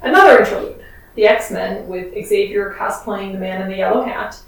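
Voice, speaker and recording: A soft-spoken woman co-hosting a podcast, recapping a comic in casual chat. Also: mid-range voice, normal speed, creaky. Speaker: podcast co-host. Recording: very echoey, distant from mic, faint noise.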